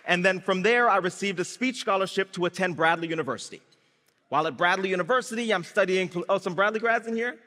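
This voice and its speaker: A young man from Brazil, raised in Guyana, giving a lively startup-founder speech on stage. He tells his story with comic timing and talks straight to the crowd. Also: high voice, fast talker. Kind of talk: live stage speech with audience call and response. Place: large event hall before an audience. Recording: reverberant hall through a PA.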